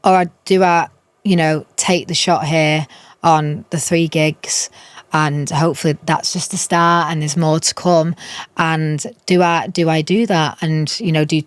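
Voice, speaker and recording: A woman's voice: low voice, steady tone, a little slow, no room sound. A young woman, a musician guest, chatting in an interview and telling stories with frequent restarts. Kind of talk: casual interview chat.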